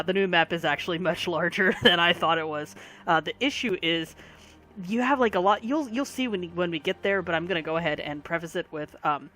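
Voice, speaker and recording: A tired gaming video creator, short on sleep and fighting allergies, giving a casual rambling commentary full of pauses. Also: mid-range voice, sharp tone. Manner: rambling, many pauses and fillers. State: voice affected by allergies.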